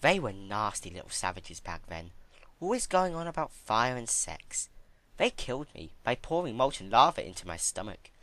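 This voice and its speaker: A man's voice: medium pitch, very animated, fairly bright tone. A man voicing an ancient, unhinged female pony princess who recalls her torments in a theatrical, chatty way, in a dramatic story reading. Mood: gleefully manic. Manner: theatrical, chatty about horrors, with drawn-out emphatic words. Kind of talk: dramatic fan fiction reading.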